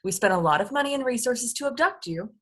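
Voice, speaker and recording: A woman's voice: fairly high pitch, lively intonation, quick pace, a bit bright. A woman playing an impatient, no-nonsense alien boss in charge of an abduction, at a script table read.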